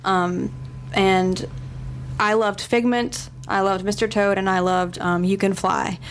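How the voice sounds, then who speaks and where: A woman's voice: mid-range voice, steady tone, takes it slowly. Woman chatting over faint background noise. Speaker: podcast panelist. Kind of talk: casual chat with anecdotes.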